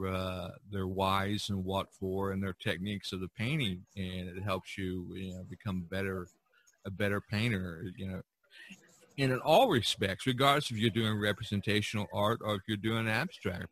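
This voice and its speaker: A man hosting a podcast, talking casually about art in a halting, rambling way. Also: fairly low pitch, fairly bright tone. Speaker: podcast host.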